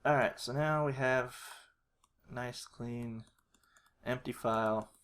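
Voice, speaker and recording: A man's voice: medium pitch, steady tone, takes it slowly, fairly bright tone, clear, resonant tone. A man narrating a casual tutorial, hesitant and unrehearsed, thinking aloud as he works. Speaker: animator, video creator.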